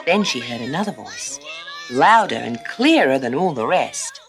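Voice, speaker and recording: A woman reading a scripted children's story in an expressive manner, with dramatic pauses. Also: a bass voice, faint music behind.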